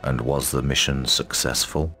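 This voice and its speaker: A grave emperor in a fantasy game. His voice is extremely deep and creaky, his delivery stately, with music clearly behind. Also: steady tone, sharp tone, full character voice. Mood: solemn. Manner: stately, measured, formal. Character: grave, regal male emperor addressing his commander. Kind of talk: fantasy game cutscene acting.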